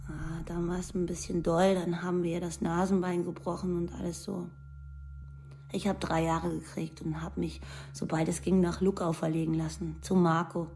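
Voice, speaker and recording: A woman's voice: fairly low pitch, darkish tone, a little hiss or hum, close to an everyday voice. An actress playing a young far-right ex-convict woman, talking plainly in clipped slang in a documentary radio play. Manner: plain colloquial slangy telling in clipped sentences.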